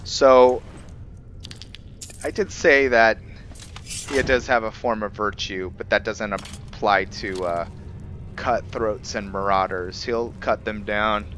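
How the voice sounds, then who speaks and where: Male gameplay host, low but forceful, explains casually and haltingly, faint game ambience behind. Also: steady tone, a little hiss or hum. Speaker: gaming video host. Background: video game ambience.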